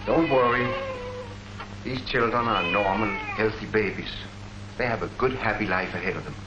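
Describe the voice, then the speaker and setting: An earnest army colonel played by a man lectures with rhetorical force in a low voice. It has the manner of a 1940s public information film. The old soundtrack is dull, with heavy noise. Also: moderate pace, close to an everyday voice. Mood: reassuring. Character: earnest male army colonel presenting public health facts. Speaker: presenter.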